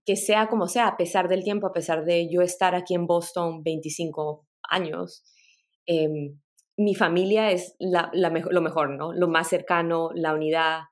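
A woman's voice: fairly low pitch, steady tone, normal speed. A middle-aged woman from Peru, guest on a podcast interview, telling her life story thoughtfully with small laughs and restarts. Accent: mixed Latin American Spanish. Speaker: guest, tech product director.

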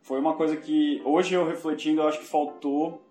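A man's voice: a little high, level tone, a little fast, mellow, soft background music. A young man from São Paulo with a Paulista Brazilian Portuguese accent, reflecting as a guest in a casual podcast interview, often restarting his sentences. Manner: reflective, self-correcting, many restarts. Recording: roomy echo.

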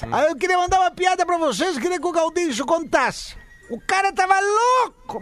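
Man, very high pitch, animated, chatty, joke telling, casual stream. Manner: chatty, joking. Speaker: joke teller on a live stream.

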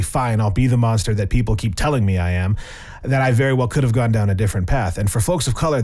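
A man hosting a podcast discussion, who thanks his guests and asks them questions in measured, prepared phrasing. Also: mid-range voice, a little mellow. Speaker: podcast host.

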